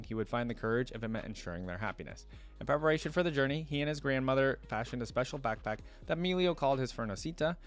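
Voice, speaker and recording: Man, mid-pitched voice, informal YouTube host, conversational reading and commentary, light background music. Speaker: YouTube reaction video host. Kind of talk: casual commentary, reading a story aloud and reacting.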